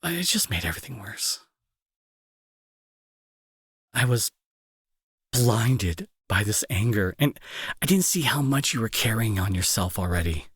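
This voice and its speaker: A man playing a remorseful, protective bully with a grieving streak, in an intimate dramatic audio roleplay with halting, earnest delivery and a husky, breathy voice. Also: fairly low pitch, lively intonation, dry recording, studio quality. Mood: remorseful, resolute. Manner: halting, emotional, earnest pleading. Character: angry male bully turned remorseful, protective, grieving brother.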